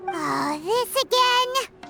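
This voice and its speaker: A woman voicing a small, blunt, excitable childlike fairy companion in an anime game, squeaky and whiny. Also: extremely slow, creaky, full character voice. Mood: grumbling. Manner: refers to herself in third person, squeaky, whiny. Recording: loud music behind the voice.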